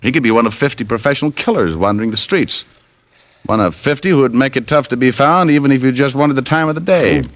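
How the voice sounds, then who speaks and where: A man's voice: mid-range voice, animated, fast, lightly acted. A man playing a cocky, wisecracking private detective in an old-time radio drama, with a wry, flippant delivery. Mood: businesslike. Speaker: lead actor. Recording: vintage radio broadcast with music.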